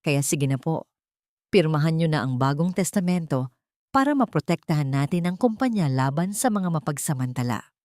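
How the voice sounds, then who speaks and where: A woman's voice: lively intonation, normal speed, no room sound, clean studio sound. A woman voicing a scheming young woman in an audio drama, falsely innocent and vicious underneath. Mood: sweetly manipulative. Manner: gentle coaxing that turns to shrill outrage.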